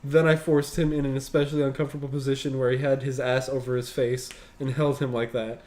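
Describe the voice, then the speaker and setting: A man's voice: medium pitch, little pitch movement, fast talker, darkish tone. A man reading a story aloud as a show host, breaking off for casual, sweary commentary.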